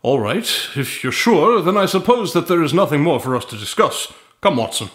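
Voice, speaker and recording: An actor playing an imperious, eloquent detective in a radio drama, with formal, florid diction. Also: male voice, fairly high pitch, very animated, moderate pace, fairly bright tone, husky, dry recording, clean studio sound, full character voice. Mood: coolly threatening.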